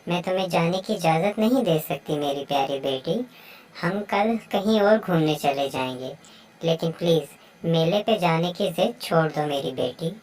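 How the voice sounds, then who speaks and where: A woman's voice: fairly low pitch, moderate pace, darkish tone, far from the mic, close to an everyday voice, low-quality recording. A woman voicing a caring but strict mother bird in a children's moral story cartoon. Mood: worried, affectionate.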